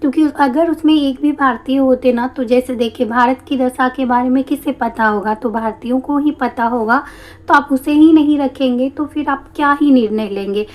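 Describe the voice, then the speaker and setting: A woman teacher with a slightly hoarse throat, explaining a history lesson step by step and answering her own questions. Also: sits high, normal speed, a little mellow. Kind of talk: exam-prep history lesson.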